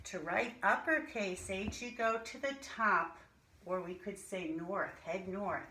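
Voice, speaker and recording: A woman teacher leading an interactive alphabet lesson for young children, speaking deliberately in a sing-song way and addressing pupils directly with pauses. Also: mid-range voice, sharp tone. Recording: echoey room with light background noise.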